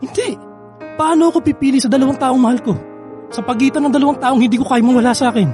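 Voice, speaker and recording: An actor with a Filipino accent playing a grieving, guilt-ridden young husband pleading in an emotional radio drama. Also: male voice, very high voice, fast talker, a little mellow, faint music behind. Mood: anguished, defensive.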